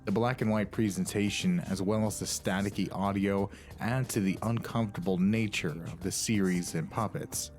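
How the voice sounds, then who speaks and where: Man, low pitch, scripted documentary narration, soft background music. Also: steady tone, normal speed, hardly any pauses. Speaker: video narrator.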